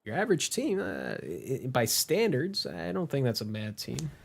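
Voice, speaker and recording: A man's voice: mid-range voice, wildly swooping pitch, moderate pace, vocal fry, a little hiss or hum. A man doing casual live game commentary as a streamer, playful, informal and rambling.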